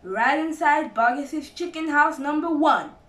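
A woman voicing a sly, confident, gallant father fox in a storybook, with assured, courtly and deliberate phrasing. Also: high-pitched, lively intonation, fairly bright tone, reverberant, far from the mic, voice pushed up.

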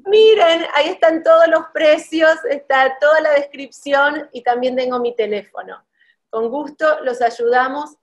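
A woman's voice: high voice, measured pace, highs cut off. A woman in her thirties hosting a promotional video call, bubbly and upbeat. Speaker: wedding planner host. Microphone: video call webcam.

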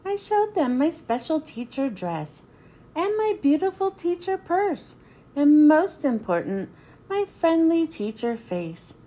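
A woman reading a children's picture book aloud in an expressive storytelling manner, then thanking her listeners. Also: high voice, takes it slowly.

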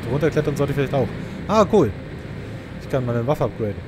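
Male let's player, mid-range voice, casual sarcastic muttering over clear game noise. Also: mid-range voice, lively intonation, fast talker. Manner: sarcastic, grumbling asides. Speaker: let's play host. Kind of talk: casual gameplay commentary, muttering to himself.

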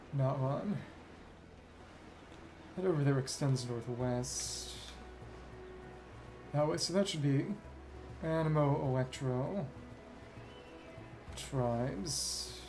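A man giving casual gameplay commentary as a streamer, thinking aloud in short, halting fragments. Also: a little high. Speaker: game streamer. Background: video game music.

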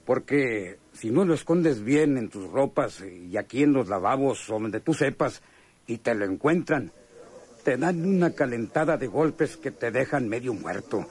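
A man's voice: mid-range voice, lively intonation, measured pace, a little mellow, slightly rough. A Mexican man acting a chummy, sly, hardened convict in a radio drama, talking in slangy, hesitant phrases. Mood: sly, confiding. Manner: slangy, hesitant drawl with knowing chuckles.

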